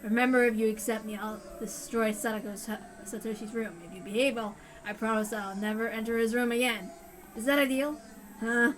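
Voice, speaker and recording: A woman voicing a bullying, abusive middle-aged man who snarls and shouts. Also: fairly high pitch, fairly even intonation, fairly quick, a little hiss or hum, lightly acted, low-quality recording. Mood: menacing. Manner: belligerent, shouting, sneering.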